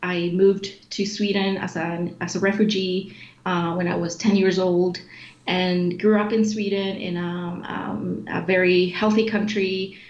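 A woman's voice: on the low side, level tone, unhurried, a little mellow. A woman in her forties, born in Chile, answering interview questions in a thoughtful, explanatory way with frequent fillers and restarts. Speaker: guest, executive director of an obesity organization. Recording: echoey room, dull lo-fi sound, faint noise.

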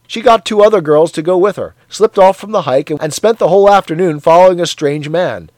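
A man doing a loud, cheery, blustering neighbor. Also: high-pitched, a little fast, dry recording, voice pushed up, close to an everyday voice. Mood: boastful. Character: hearty, sweaty, zealous middle-aged man.

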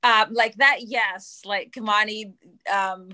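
A woman's voice: medium pitch, fairly even intonation, takes it slowly, bright, forceful tone. A woman from Canada speaking thoughtfully as a panel guest, often restarting words. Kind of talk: casual panel discussion.

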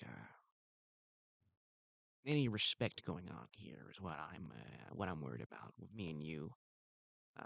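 A man's voice: lowish voice, vocal fry, no echo, full character voice. A man playing an insecure, self-important security guard who rambles and stammers. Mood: earnest. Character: insecure pompous male mall security guard. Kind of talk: improvised comedy scene.

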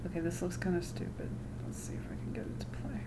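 A woman's voice: lowish voice, expressive, fast talker, very noisy. A woman hosting her own podcast in casual chat, sarcastic and mocking, swearing freely as she talks. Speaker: podcast host.

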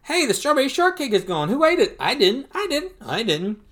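A man's voice: very high voice, sing-song, brisk, a bit bright, voice pushed up, exaggerated voice. A man voicing plucky, bossy young duckling boys while reading a comic story aloud. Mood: excited. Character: eager boy duckling triplets, bossy and plucky.

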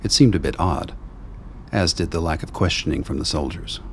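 A man narrating a radio play in a measured, ominous storytelling manner, with a deep gravelly voice. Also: a little hiss or hum.

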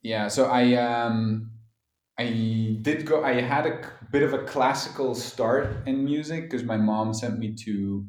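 A man's voice: mid-range voice, a little slow, a little mellow, roomy sound. A man from Belgium, a musician guest on a podcast call, chatting casually with lots of hesitations and restarts. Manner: hesitant, many fillers and restarts. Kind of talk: casual interview chat. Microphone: phone call.

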